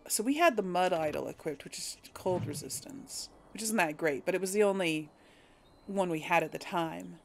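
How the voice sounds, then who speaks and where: A woman's voice: lowish voice, normal speed, gravelly, slight background noise. A woman giving casual let's play commentary, chatting to viewers and musing aloud as she goes. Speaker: gaming video host. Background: video game ambience and effects.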